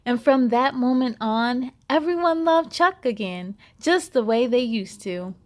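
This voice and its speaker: A woman with a high voice narrates for young children as a story-time host. She takes her time, phrasing each line deliberately. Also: animated. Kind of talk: animated children's read-aloud narration.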